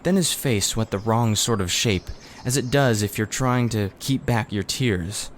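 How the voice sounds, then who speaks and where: A man reading a children's story aloud in expressive narration. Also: fairly low pitch, unhurried. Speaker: audiobook reader. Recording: faint background noise.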